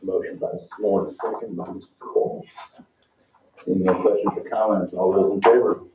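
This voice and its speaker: A very low-voiced American man runs a council meeting as its chair. His procedural talk comes through from a distance in an echoing room, with a somewhat muffled sound. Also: fairly even intonation, normal speed. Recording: roomy, distant, muffled mics, faint noise.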